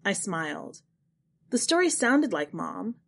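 A woman reads literary fiction as a narrator. Her voice sits at a medium pitch but swings widely, giving the scripted prose expressive, dramatic phrasing. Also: takes it slowly, creaky, a little hiss or hum. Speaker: podcast host and fiction narrator.

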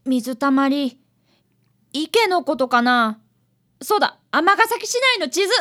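A woman's voice: high voice, animated, takes it slowly, pushed and bright, heavily acted. A woman voicing an eager fifth-grade boy, an apprentice detective, in a radio drama. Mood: puzzling, insistent. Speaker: actor and narrator in a radio drama. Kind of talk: radio drama acting and narration.

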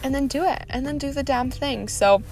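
A woman's voice: high-pitched, a little fast, darkish tone, faint music behind. A woman hosting her own motivational podcast, upbeat and encouraging, laughing often, a little snuffly from a cold. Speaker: podcast host. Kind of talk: motivational solo podcast talk.

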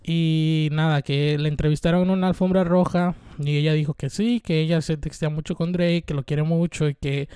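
A man's voice: on the high side, steady tone, normal speed, few pauses, warm tone, clean tone, no echo, clean studio sound. A man in his twenties from Veracruz with a Mexican Spanish accent, hosting a rambling, irreverent solo show full of fillers and casual swearing. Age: 20s. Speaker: podcast host.

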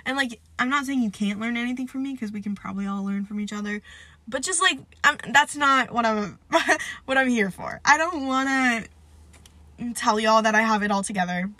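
A young woman chats on her own podcast, nasal and stuffed up from a cold. Her voice is bright and a little sharp, recorded close and dry. She rambles loosely, leaning on "like" and "um" and poking fun at herself. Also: fairly high pitch, normal speed, no echo. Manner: rambling, filler-heavy, self-deprecating. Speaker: podcast host. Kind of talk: casual solo podcast chat.

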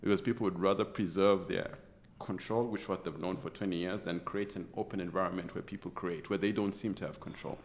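A middle-aged executive from Uganda talks in an interview with real conviction. His voice sits on the low side, and he circles back and restarts his sentences as he builds a point. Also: steady tone, fairly quick, few pauses. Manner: earnest, passionate, with many restarts. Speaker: interview guest, tech executive. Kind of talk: conversational interview.

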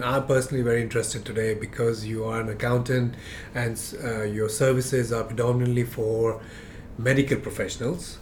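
A man's voice: mid-range voice, a little mellow, a little hiss or hum. A man hosting a podcast, introducing and questioning a guest. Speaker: podcast host, interviewer. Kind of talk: scripted introduction and interview questions. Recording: music playing behind the voice.

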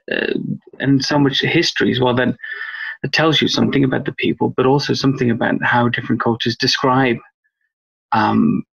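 A man's voice: mid-range voice, gravelly, husky, muffled recording. A man from Wales, a guest researcher on a podcast interview, talking in a rambling, thoughtful way with lots of fillers. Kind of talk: podcast interview over a call.

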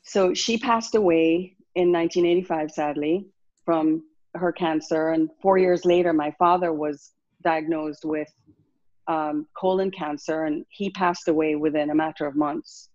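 Low-voiced Jamaican woman in her sixties. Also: steady tone, darkish tone, no echo, muffled recording. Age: 60s. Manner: reflective, unhurried, many fillers and restarts. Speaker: wellness coach. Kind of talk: interview guest telling her life story.

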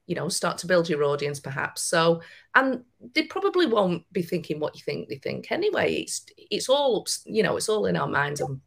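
A woman's voice: fairly low pitch, very animated, normal speed, gravelly. A woman with a broad Lancashire accent, a podcast guest giving encouraging, emphatic advice. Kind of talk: podcast interview.

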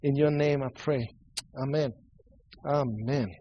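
A man with a Swedish accent preaching a sermon and praying, earnest and halting, often restarting and repeating words. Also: medium pitch, fairly even intonation, breathy edge, muffled recording. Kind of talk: sermon with prayer.

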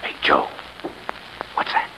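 A man's voice: slow, pushed and bright. An actor playing an uneasy, superstitious working-class repairman, talking colloquially in a 1930s radio drama. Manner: colloquial, nervous. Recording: noisy vintage 1930s radio recording.

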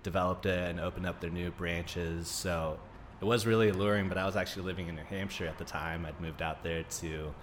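Low-voiced man chatting as guest. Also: fairly even intonation, brisk, a little hiss or hum. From Greece, Denmark and Arizona. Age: 30s. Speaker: podcast guest, naturopathic doctor. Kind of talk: casual conversational interview.

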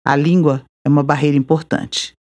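A Brazilian woman hosting a podcast, reading a measured, reflective scripted narration. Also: low voice, steady tone, a little mellow. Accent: Brazilian Portuguese. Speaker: podcast host.

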